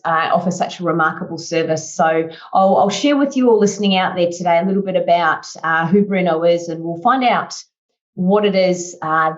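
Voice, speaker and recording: A woman's voice: lowish voice, dull audio. A woman hosting a podcast, upbeat, introducing her guest and summing up his answers. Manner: upbeat, warm interviewer who summarises answers. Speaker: podcast host.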